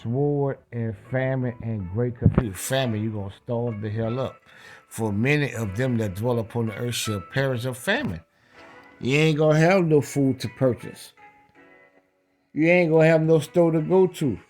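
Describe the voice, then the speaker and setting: A man's voice: mid-range voice, normal speed, a little mellow, faint music behind. A man preaching in a scripture read-along, emphatic, repeating phrases and checking in with rhetorical questions.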